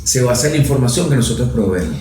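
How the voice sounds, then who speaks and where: A man's voice: medium pitch, lively intonation, racing, a little mellow. A man with a Venezuelan accent, a lawmaker being interviewed, explaining his ideas in a professorial, analytical way and using everyday examples. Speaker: lawmaker guest. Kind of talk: interview conversation. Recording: very echoey room.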